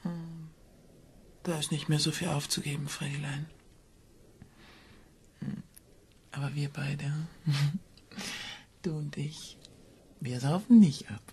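An actress playing a brooding woman in a German radio crime drama, breathy and sometimes nearly whispering. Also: deep voice, takes it slowly, pauses often, darkish tone, full character voice. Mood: dreamy, eerie.

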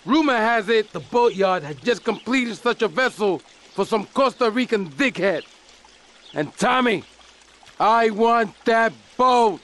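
A middle-aged drug lord from a crime game, very high in pitch and wildly swinging. He rants slowly and theatrically, short-tempered and paranoid, over faint noise. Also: lively intonation, fairly bright tone. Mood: scheming, commanding. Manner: explosive, theatrical ranting. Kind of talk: video game cutscene acting.

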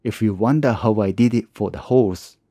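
A man with a lowish, mellow voice talks through a hand-tool woodworking project as a calm tutorial voice-over. His phrasing is plain and slightly non-native, and the sound is dry and close, with no room around it. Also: a little fast, no echo. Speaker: woodworking channel host.

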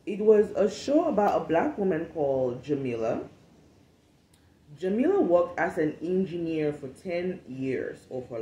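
A woman's voice: sits low, dark tone. A woman in her late twenties, raised in Cameroon, speaks English as her second language in a laid-back podcast monologue. She is candid and swears freely. The phone recording picks up a roomy echo from the space around her. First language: not English. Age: 20s. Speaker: podcast host. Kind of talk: casual monologue. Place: home room, seated at a table.

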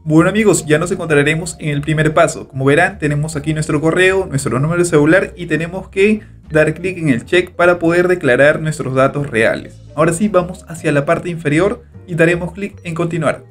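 A man calmly narrating a step-by-step screen tutorial as host, walking through each click. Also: a little high, a little fast, few pauses, faint music behind. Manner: steady instructional narration, repeats click steps. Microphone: voice-over over screen recording.

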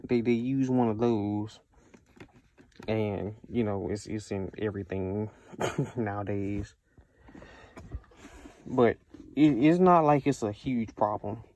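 A man's voice: fairly low pitch, slow pace, long pauses, darkish tone. An American man giving a casual, unscripted product review in a conversational way, repeating himself for emphasis.

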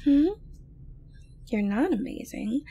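A woman playing an obsessive, sweet-talking yandere girlfriend in an intimate ASMR audio roleplay, coaxing softly with breaths and near-whispers. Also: a little high, expressive, slow, slight background noise, heavily acted. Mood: adoring. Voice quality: soft, breathy, often dropping to a whisper. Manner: coaxing, cooing, falsely gentle, hesitant.

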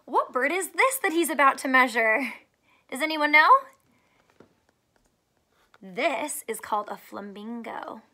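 A woman teacher with a fairly high voice reads to children. She takes her time over each phrase, explaining in simple terms and putting questions to the listener. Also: animated, unhurried, pauses often, bright, forceful tone. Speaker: teacher reading aloud to children. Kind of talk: children's story read-aloud with simple teaching. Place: small furnished room at home, indoors.

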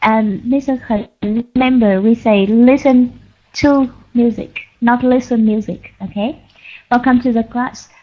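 A woman teaching an online English class, correcting students patiently and clearly. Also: a little high, steady tone, measured pace. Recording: lo-fi online call audio.

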